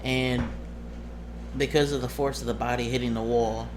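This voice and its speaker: A man working as game master, casually narrating a tabletop game in a halting way, muttering through dice sums. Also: medium pitch, fairly even intonation. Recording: clearly noisy background.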